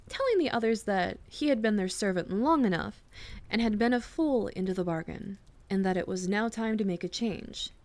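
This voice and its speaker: A woman speaking softly and soothingly in an intimate, gently teasing ASMR bedtime roleplay, close to the microphone. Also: medium pitch, dry recording. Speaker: ASMR roleplay performer playing a girlfriend. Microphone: close microphone, dry sound.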